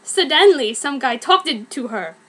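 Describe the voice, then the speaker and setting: A young adult woman giving an amateur dramatic reading of badly written fanfiction, sounding out its mistakes deliberately. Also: high voice, expressive, a bit bright.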